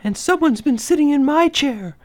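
A man voicing an indignant, medium-sized mother bear in a children's storybook, exclaiming in falsetto. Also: animated, normal speed, no room sound.

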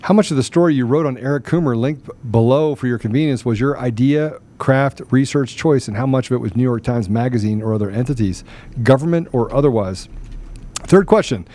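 A man's voice: medium pitch, fairly quick, dark tone. A man hosting a live political talk show in a casual style, with a habit of repeating phrases for emphasis. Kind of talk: casual live talk, sometimes reading questions aloud.

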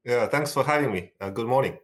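Chinese-accented man of about fifty, answering as a guest in an interview. He talks in halting bursts, full of "uh" and "you know", restarting his sentences often. His pitch sits in an ordinary middle range for a man. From China. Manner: hesitant, many fillers and restarts. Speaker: guest, tech company executive. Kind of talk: conversational interview.

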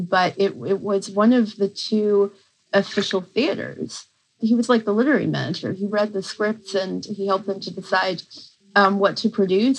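A woman's voice: medium pitch, breathy edge, low-quality recording. A woman professor giving a conversational academic explanation as an interview guest, with informal asides.

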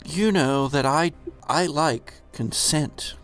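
A man voicing a coy, flustered female ship AI in a tabletop game, speaking in a halting, stammering way. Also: on the high side, faint music behind, pitched above the performer's own voice. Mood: reluctant, coy.

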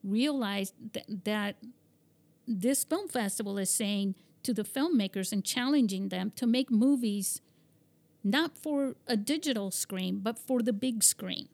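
A woman's voice: mid-range voice, a little slow, darkish tone. A woman from Spain hosting an interview, rambling with many fillers and restarts. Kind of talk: conversational interview.

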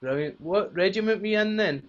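A man's voice: sits high, a little fast, lo-fi sound. A man hosting a podcast, giving short upbeat prompts in casual interview style. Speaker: podcast host.

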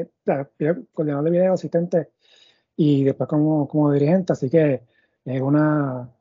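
A man's voice: a little high, breathy edge, no room sound. A man hosting a sports podcast in Spanish, asking long, meandering questions full of fillers and restarts. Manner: thinks aloud with many fillers and restarts. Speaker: podcast host. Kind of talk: conversational sports interview. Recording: dull, muffled audio.

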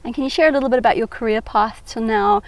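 A woman co-host with a slightly raised pitch, asking a guest polite questions. She phrases each question in a courteous, welcoming way. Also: quick pace, dry recording, poor audio. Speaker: podcast co-host. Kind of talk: interview questions.

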